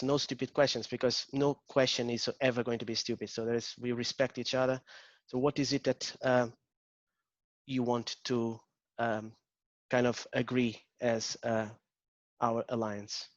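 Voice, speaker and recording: A man's voice: mid-range voice, no echo, highs cut off. A man with a Portuguese accent, an agile coach leading an interactive online workshop, hesitant with many ums and restarts. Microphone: video call.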